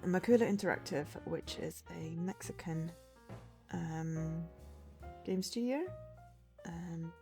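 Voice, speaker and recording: A woman's voice: lowish voice, warm tone. Sniffly woman streamer with a cold. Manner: chatty and hesitant, full of ums, unsure of name pronunciations. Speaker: game streamer. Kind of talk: casual livestream chat, reading a game blurb aloud.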